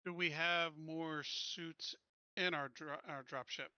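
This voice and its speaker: A man's voice: fairly high pitch, steady tone, slow, sharp tone, smooth, no room sound, lo-fi sound. A man playing a tabletop roleplaying game, chatting casually in a blunt, informal way.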